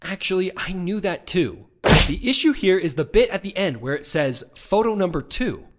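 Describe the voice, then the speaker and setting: A man's voice: sits high, sing-song, normal speed. A man voicing an earnest, dogged young defense lawyer in a courtroom game, with insistent, emphatic questioning. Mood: pressing, accusing. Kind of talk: video game fan voice acting.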